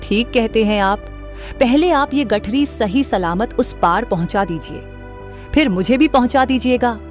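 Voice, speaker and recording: A woman's voice: on the high side, unhurried, soft background music, lightly acted. A woman playing a gullible, trusting young village wife in a cartoon moral story.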